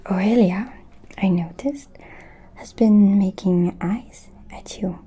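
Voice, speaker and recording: Woman acting as an ancient vampire lady, asmr roleplay, breathy mellow whisper, super slow n seductive, kinda menacing. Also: mid-range voice. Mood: amused, conspiratorial. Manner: slow, deliberate, pausing, intimate.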